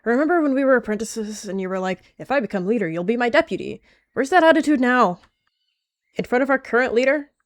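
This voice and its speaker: Girl voicing a snarky young she-cat, pushy and teasing, raised and pitched up, dry sound. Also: expressive, fast talker, dry recording, natural voice. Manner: teasing, sarcastic.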